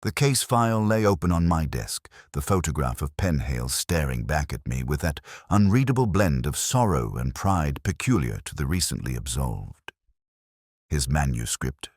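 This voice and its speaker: A man playing a melancholy, world-weary English police inspector, narrating his own story in a measured, literary, reflective way. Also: rumbling low, breathy edge, dry recording, clean studio sound, exaggerated voice. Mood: melancholy, solitary, then reflective, resigned. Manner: measured, brooding, literary delivery. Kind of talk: scripted first-person narration, literary detective drama.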